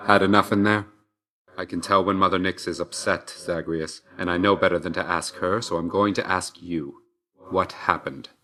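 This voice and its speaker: A stern young god of death for a video game. His voice sits low. He speaks with aloof, dry restraint, brooding and measured in every line. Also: fairly bright tone. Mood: guarded, softening. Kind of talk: scripted game character dialogue.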